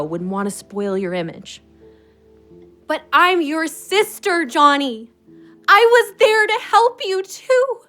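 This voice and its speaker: Casting: bitter, wounded little sister for audio drama, very high bright voice, grieving, proud, confrontational. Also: female voice, heavily acted. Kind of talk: emotional audio drama acting.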